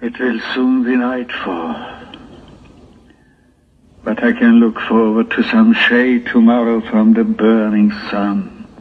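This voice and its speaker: Aggrieved biblical prophet, played by a man, very drawn-out and theatrical, full of groans; tinny, hissy 1960s audio drama recording. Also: on the low side, steady tone. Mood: relieved, grateful. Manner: theatrical, drawn-out, sighing and moaning monologue. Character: aggrieved, self-pitying Hebrew prophet, suffering in the heat.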